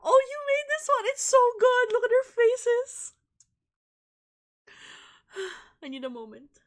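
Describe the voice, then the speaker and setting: A woman's voice: extremely high pitch, big pitch swings, slow pace. A woman livestreaming a visual novel, reading it aloud and reacting in a giddy, exclamatory, dramatic way. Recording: music behind the voice. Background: game background music.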